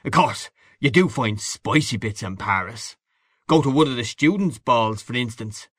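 A man's voice: medium pitch, animated, moderate pace, a bit bright, breathy edge, no echo, clean studio sound. A man voicing a brash, worldly Irishman in an audiobook, boastful and hearty, patronising an old friend with emphatic delivery. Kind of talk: literary audiobook character dialogue.

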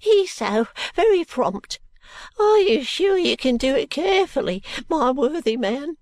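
A woman's voice: high-pitched, big pitch swings, measured pace, darkish tone, no echo, clean studio sound, full character voice. A woman voicing a breathless, wheedling and spiteful old male moneylender in a storybook reading. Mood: fretful, alarmed. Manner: fawning endearments breaking into angry curses.

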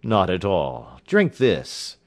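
A man's voice: low-pitched, wildly swooping pitch, slow, darkish tone, heavily acted. A man playing a formal, concerned and authoritative doctor in an audiobook story. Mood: commanding. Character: calm, authoritative, sympathetic male doctor of Victorian tale.

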